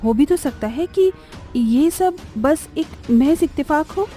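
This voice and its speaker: A woman plays an eager young village woman in an animated tale. Her voice is very high and lively in pitch, chatty and earnest, with music beneath. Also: measured pace. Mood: concerned, reassuring. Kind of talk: Hindi animated horror story dialogue. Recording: music bed under the voice.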